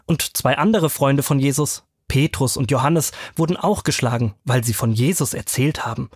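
A man as a guest storyteller, explaining Bible stories to children in simple, clear phrases. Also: a little high, no echo, studio quality.

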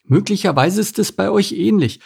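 A man's voice: high voice, animated, moderate pace, creaky, darkish tone, breathy edge, voice pushed up, full character voice. A man voicing a cautious, sympathetic female tortoise in a storybook, earnest and worried. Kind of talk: storybook character voice.

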